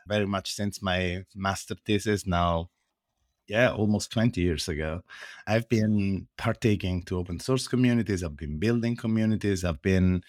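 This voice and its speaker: A man with an Italian accent and a very low, deep voice talks through his work as a podcast guest. His delivery is earnest and explanatory, with frequent pauses and hesitant fillers. The sound is close and dry, with almost no room around it. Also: darkish tone, dry recording. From Italy. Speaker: guest, open source foundation director. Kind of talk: podcast interview answers.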